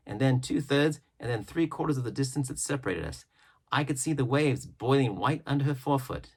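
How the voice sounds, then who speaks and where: A man reading a classic adventure novel aloud as an audiobook, with a dramatic, varied storytelling delivery and deliberate pauses. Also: mid-range voice. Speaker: audiobook reader.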